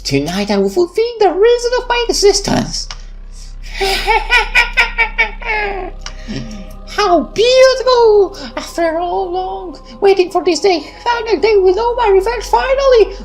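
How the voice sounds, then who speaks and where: A woman's voice: very high-pitched, lively intonation, slow, soft background music, pitched above the performer's own voice, full character voice. A woman voicing a gloating, seductively malicious supernatural mistress in a visual novel, haughty, theatrical and gleeful. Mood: gleeful, euphoric.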